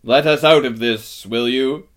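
Lion leader, man performing: hearty, commanding, kindly, projected loud, for a children's storybook. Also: medium pitch, measured pace, natural voice. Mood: hearty, roaring.

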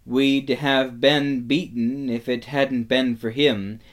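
A man's voice: medium pitch, fairly even intonation, slow pace, lightly acted. A man voicing an earnest, stern young boy warrior in a storybook, speaking emphatically. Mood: earnest, urgent.

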